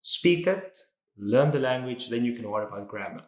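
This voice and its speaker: A man's voice: medium pitch, lively intonation, normal speed. Chatty male interview host, echoey room. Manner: chatty, opinionated, often starts questions with "so". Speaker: interview host, English teacher. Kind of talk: casual interview, educational.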